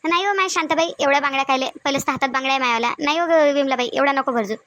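Cartoon village auntie played by a woman: Varhadi Marathi accent, very high and bright, bossy and nagging. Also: racing, dry recording. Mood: chatty, bossy. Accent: Varhadi Marathi of Vidarbha. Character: chatty, bossy village women at a wedding ritual. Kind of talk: cartoon comedy dialogue.

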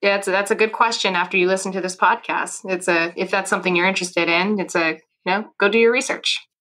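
A woman's voice: mid-range voice, steady tone, fast, a bit bright. A woman from California hosting a podcast interview, asking questions in an upbeat, playful way. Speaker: podcast host.

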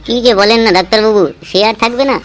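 Woman playing a chatty, fast-talking cartoon patient. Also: a little high, steady tone, crowd noise, lightly acted. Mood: cheeky. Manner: wordy, complaining, colloquial. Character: chatty, naive, cheeky cartoon clinic patients. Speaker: cartoon voice actor. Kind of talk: comic Bengali cartoon dialogue.

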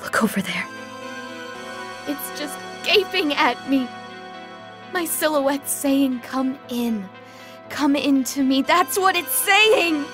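Woman acting a slow, high-voiced frightened young woman. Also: lively intonation. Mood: panicked, tearful. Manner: trembling, hesitant, dread-filled. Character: frightened young woman obsessed with her tunnel. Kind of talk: horror manga dub acting. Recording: loud background music.

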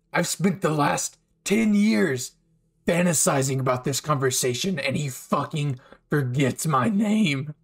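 A man playing an unhinged, bitter anime villain with a menacing, taunting manner, nursing an old betrayal in a dramatic fan roleplay. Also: a little high. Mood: furious, hurt. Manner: menacing, taunting, swinging from quiet to outbursts.

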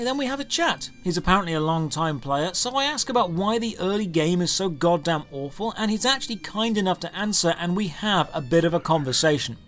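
A high-pitched man delivers a scripted game review as a voice-over. He sounds sardonic and exasperated, and music plays faintly behind him. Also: a bit bright. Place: voice-over recorded off screen over gameplay footage.

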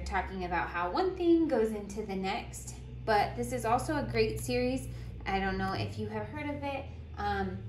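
A woman reading a picture book aloud like a teacher, telling it deliberately and putting questions to young listeners. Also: mid-range voice. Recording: roomy echo with clear background noise.

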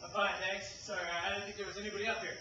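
An actor playing a polite, hesitant, flustered stage performer in a comic play. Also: male voice, high-pitched, level tone, racing, close to an everyday voice. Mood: politely flustered. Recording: distant, muffled, echoey noisy theater recording.

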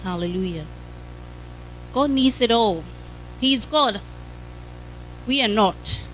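A woman's voice: a little high, expressive, slow, a bit bright, a little hiss or hum. A woman in her thirties preaching a sermon with a handheld mic in a church hall, fervent and punctuated by hallelujahs.

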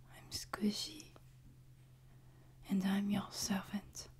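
Whispering French maid played by a woman, very slow. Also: mid-range voice, natural voice. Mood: soothing, tender. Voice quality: soft, breathy whisper. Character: soothing, devoted, gently dominant French maid. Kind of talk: whispered ASMR sleep-aid roleplay. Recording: noticeable background noise, ambience.

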